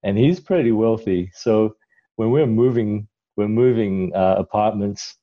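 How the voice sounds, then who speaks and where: A man's voice: fairly low pitch, measured pace, highs cut off. A middle-aged man from Malaysia, a guest in a casual interview, telling his story in a rambling way with lots of fillers and restarts.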